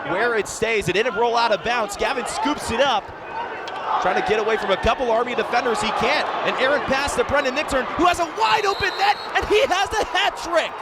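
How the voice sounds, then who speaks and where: A man's voice: very high voice, brisk. A man doing live lacrosse play-by-play on radio as a sportscaster, describing the action urgently. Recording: very loud crowd and arena noise. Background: loud game crowd.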